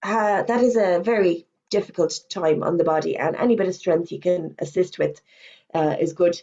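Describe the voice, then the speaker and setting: A woman's voice: on the low side, steady tone, dark tone, highs cut off. Irish woman with a fading voice. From Ireland. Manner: chatty, quick asides and self-corrections. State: losing her voice. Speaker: fitness instructor. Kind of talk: webinar presentation.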